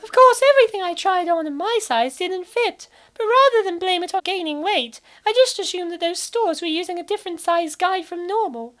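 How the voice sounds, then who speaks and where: A woman voices a naive young college student in a comic dub. Her voice is very high and swings animatedly. She narrates and exclaims with theatrical indignation. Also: lively intonation, fairly quick, few pauses, fairly bright tone. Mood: puzzled, indignant.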